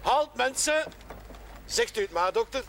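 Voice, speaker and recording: A folksy country policeman in a seventies TV drama. He is high-pitched, self-assured and officious, with faint noise behind him. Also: lively intonation, measured pace, creaky, fairly bright tone, heavily acted. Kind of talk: 1970s television drama acting. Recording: faint noise on old TV audio.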